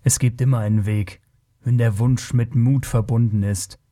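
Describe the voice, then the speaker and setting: A man's voice: medium pitch, steady tone, normal speed, mellow, lightly acted. A man voicing a blunt, naive and proud young barbarian in a fantasy audiobook, speaking in a curt, earnest way. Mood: curt, annoyed.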